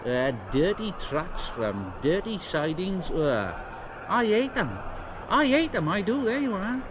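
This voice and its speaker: A man voicing James, a vain and boastful young male engine who turns shrill when scared. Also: sing-song, unhurried, noisy background. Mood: grumbling. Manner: haughty, then shrill and panicky.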